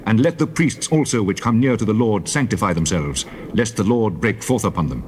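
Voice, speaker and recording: A man playing God as a stern lawgiver in dramatized scripture, with measured, authoritative, formal archaic delivery on an old cassette. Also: on the low side, expressive, a little mellow, lightly acted. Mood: stern, commanding. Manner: solemn, measured, authoritative declamation. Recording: noisy old cassette transfer.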